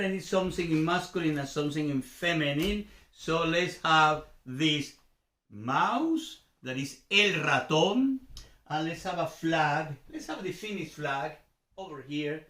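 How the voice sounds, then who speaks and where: A man's voice: a little high, unhurried, a bit bright, echoey room. A man from Venezuela with a Venezuelan accent and native Spanish gives an informal grammar lesson as a teacher, repeating examples and checking understanding with questions. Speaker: language teacher and host.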